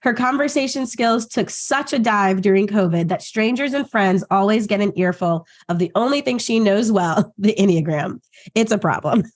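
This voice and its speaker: A woman co-hosting a podcast who is a therapist. She sounds hoarse and asks curious, upbeat follow-up questions. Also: mid-range voice, normal speed.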